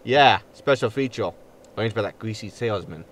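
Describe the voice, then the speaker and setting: A man's voice: fairly low pitch, a little fast, lightly acted. A man playing a grumpy, impulsive spaceship captain in a sci-fi sitcom audio drama, blunt and exasperated. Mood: brash. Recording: loud background noise.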